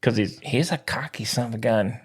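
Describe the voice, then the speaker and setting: A man co-hosting a sports podcast, bantering casually in an excitable, opinionated and slangy way. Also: medium pitch, fairly quick, husky.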